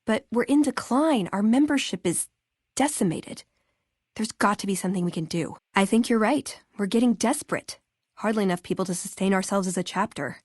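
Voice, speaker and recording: Game role: earnest young female scribe played by a woman, American, dryly wry and conversational, mid pitch, close dry studio sound. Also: fairly quick, a little mellow, slightly rough, no room sound, natural voice. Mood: troubled, regretful. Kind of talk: video game character dialogue.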